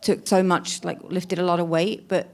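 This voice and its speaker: A woman's voice: on the low side, flat delivery, normal speed. A woman, a wife and mother in the congregation, talking candidly and chattily about her marriage. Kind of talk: candid church discussion.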